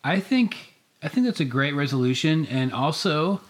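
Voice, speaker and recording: A man's voice: on the high side, sing-song, moderate pace. Mild-mannered man chatting as podcast co-host. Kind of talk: casual comedic podcast chat.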